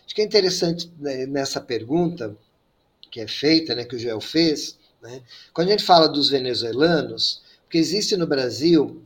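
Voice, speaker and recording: A priest speaking as a guest on a panel, explaining migration rules in a measured way with frequent fillers. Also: male voice, mid-range voice, expressive, slightly rough. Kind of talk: panel discussion.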